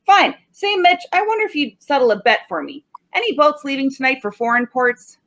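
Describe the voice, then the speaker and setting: A woman acts out a stubborn homicide cop in a 1940s radio play. The voice sits fairly high for all its tough, slangy bluster. The delivery is sardonic and unimpressed. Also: expressive, fairly quick, a bit bright, slight background noise. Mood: smug, needling.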